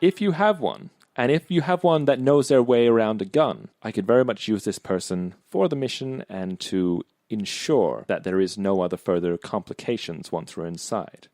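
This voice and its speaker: A man voicing a businesslike cyberpunk crew negotiator, deliberate, formal and earnest. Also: fairly low pitch, a little mellow, no echo, clean studio sound, faint music behind, natural voice. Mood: businesslike, courteous. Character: businesslike cyberpunk crew fixer called Junk, courteous negotiator.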